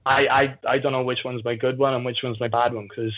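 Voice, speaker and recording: A man's voice: medium pitch, little pitch movement, brisk. South Wales Valleys man on a muffled call. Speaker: podcast guest, jiu-jitsu athlete. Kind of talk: casual conversational storytelling. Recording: muffled phone-like call audio.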